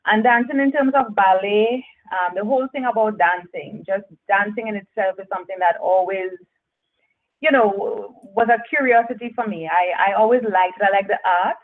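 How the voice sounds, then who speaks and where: A woman's voice: medium pitch, normal speed. Chatty woman on a tinny phone line. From Trinidad and Tobago. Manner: chatty, laughs often, repeats phrases. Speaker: guest author and coach. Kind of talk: casual interview over the phone.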